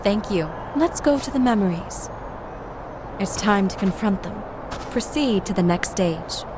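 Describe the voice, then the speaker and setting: An actress playing a polite clinical guide in a video game, giving formal, measured, soothing instructions. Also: female voice, mid-range voice, lively intonation, loud music behind the voice, lightly acted. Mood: calm, clinical. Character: polite clinical female guide running a memory synchronization test. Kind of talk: scripted game dialogue, procedural instructions. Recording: clear vehicle and ambient noise behind.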